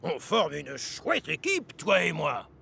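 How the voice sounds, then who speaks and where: A man voicing a sly, wheedling diesel locomotive in a French cartoon dub, raspy and oily in manner. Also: on the high side, huge pitch swings, slow, pushed and bright, heavily acted. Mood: cajoling.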